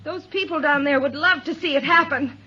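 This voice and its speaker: A woman's voice: sits high, a little fast, bright, forceful tone. An American actress playing a desperate, distrustful, defiant woman in a 1950s radio drama, overwrought and wary. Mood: bitter, defiant. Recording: dull, noisy 1950s radio recording.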